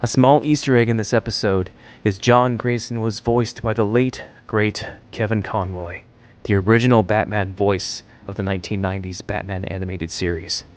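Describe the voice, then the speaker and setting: A man delivers scripted video-essay narration in a fairly low voice. Faint music plays underneath as he tells the story. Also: fairly even intonation, normal speed, a little mellow.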